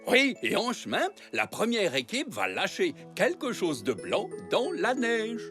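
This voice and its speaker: Jolly, swooping old grandpa zebra. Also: male voice, high-pitched, wildly swooping pitch, a little slow, heavily acted. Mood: playful, explaining. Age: older character. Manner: jovial, indulgent. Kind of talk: children's cartoon dialogue. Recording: music behind the voice.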